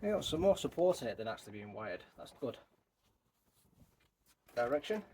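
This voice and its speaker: A man with a croaky voice talking casually through his bonsai work as host of his channel, thinking aloud as he goes, outdoors. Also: mid-range voice, sing-song, moderate pace, heavy background noise. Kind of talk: casual hands-on tutorial talk.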